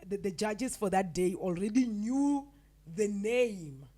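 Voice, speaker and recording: Husky young woman, South African accent, podcast guest, lively storytelling. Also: medium pitch, slow pace. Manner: animated storytelling, acting out quotes. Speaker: guest actress and presenter. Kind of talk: casual podcast interview.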